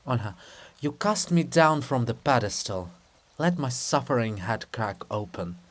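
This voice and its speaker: A man's voice: mid-range voice, lively intonation, measured pace, lightly acted. A man voicing the monument of a devoted, melodramatic saint, declaiming with anguish and wrath.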